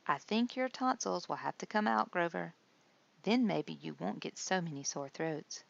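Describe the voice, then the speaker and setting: A woman voicing a practical, calm doctor in a storybook, speaking in a matter-of-fact, reassuring way. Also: fairly low pitch, moderate pace, vocal fry, highs cut off.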